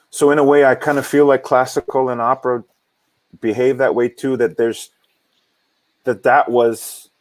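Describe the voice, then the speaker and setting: A man from Hawaii, an opera singer, talking reflectively in an interview with many halting restarts and repeated words. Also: mid-range voice. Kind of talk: reflective casual interview talk.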